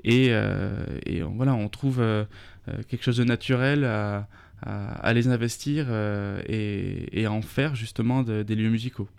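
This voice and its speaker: A man's voice: on the low side, measured pace, gravelly, darkish tone, clear, resonant tone, no echo. A man, a festival organizer talking through a radio interview, full of fillers and restarts. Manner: hesitant, many fillers and restarts.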